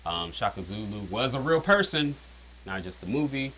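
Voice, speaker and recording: A man with a deep voice casually breaking down his own rap lyrics, rambling with lots of "um" and "you know". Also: big pitch swings, normal speed, a bit bright, slight background noise, low-quality recording. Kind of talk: casual explanatory chat.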